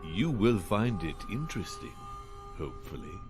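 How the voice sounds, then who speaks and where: An imperious dwarf lord from a fantasy video game. His formal, deliberate speech swings widely in pitch, shrewd and superior. Music plays behind him. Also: big pitch swings. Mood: sly, menacing. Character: haughty dwarf lord, shrewd castle baron. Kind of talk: video game cutscene acting.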